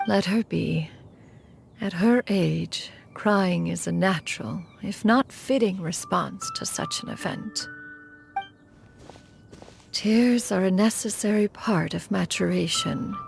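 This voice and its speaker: Lofty old crane spirit, super formal, kinda gravelly voice, game cutscene. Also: female voice, on the low side, slow pace, faint music behind, exaggerated voice. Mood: calm. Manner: formal, refers to herself as "one". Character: aloof ancient crane spirit, female mentor.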